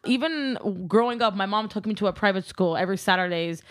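A woman's voice: mid-range voice, brisk. A young woman, a native Armenian speaker who grew up in Southern California, chatting casually and laughing easily, with lots of fillers. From Glendale, California, US. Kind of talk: casual chat.

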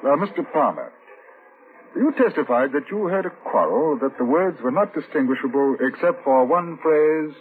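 An actor playing a composed, methodical police inspector who narrates and questions in a measured, formal, politely probing way, in old-time radio drama style. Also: male voice, a little high, sing-song. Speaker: detective and narrator. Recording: tinny, hissy vintage radio recording.

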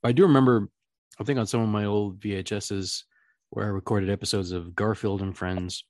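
Low-pitched man, casual rambling podcast chat. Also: steady tone, moderate pace, darkish tone, no echo, clean studio sound. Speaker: podcast host.

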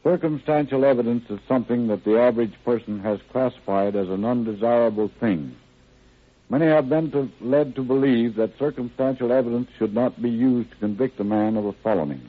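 A man, a county sheriff, reading a formal scripted foreword stiffly, halting over words. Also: mid-range voice. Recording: tinny 1930s radio recording.

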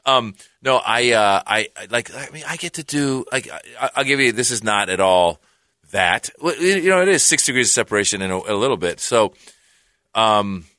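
A man from Iran, a comedian guest, telling a rambling, animated story in casual chat, with restarts and acted-out quotes. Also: mid-range voice, moderate pace, dry recording, studio quality.

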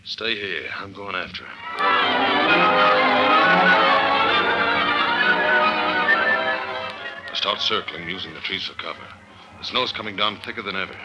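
A man's voice: sits low, unhurried, pauses often, over loud music, low-quality recording. An actor playing a tough, wry detective agent who narrates his case in clipped slang, in the style of an old-time radio drama. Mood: tense, hushed. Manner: clipped, slangy, hard-boiled. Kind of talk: old-time radio drama acting, hard-boiled first-person narration. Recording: vintage radio drama recording.

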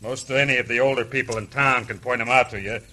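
An actor playing a suspicious, blunt small-town sheriff in an old radio western, with a folksy American Western drawl. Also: male voice, medium pitch, steady tone, quick pace, sharp tone. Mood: suspicious, gruff. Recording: noisy old radio show recording.

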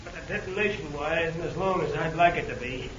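An actor playing a slangy, wry, grumbling but loyal military explosives expert in an old-time radio adventure. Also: male voice, on the high side, fairly quick, a bit bright, natural voice. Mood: wry, businesslike. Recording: muffled, hissy old radio recording.

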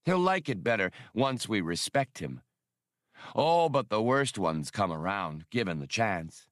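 A man voicing a gruff, wry old one-eyed bull sea creature with folksy, clipped talk, in an audiobook story. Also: on the low side, sing-song, a little slow, no room sound, studio quality. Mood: gruff, philosophical. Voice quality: gurgling.

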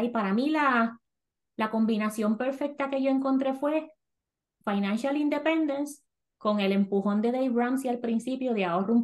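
A woman with a Puerto Rican Spanish accent hosting a casual podcast chat, lively and quick to laugh, slipping English words into her Spanish. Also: a little high. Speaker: podcast host.

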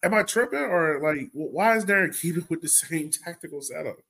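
A man's voice: a little high, lively intonation, a little fast, vocal fry, bright, forceful tone, slightly rough. A man hosting a sports podcast in a casual, colloquial way, sounding exasperated and throwing out rhetorical questions. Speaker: podcast host.

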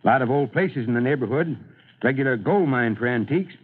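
A man's voice: mid-range voice, lively intonation, fast, slightly rough. A man playing a kindly, folksy fire chief in an old-time radio drama, avuncular in manner. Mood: genial, encouraging. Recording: muffled narrow-band old radio recording.

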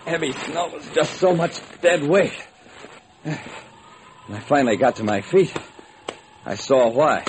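A man's voice: fairly high pitch, animated, a little slow, long pauses. An actor playing a hard-boiled private detective, narrating his case with dramatic, wry deliberation in old-time radio style. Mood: wry storytelling. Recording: noisy old radio recording.

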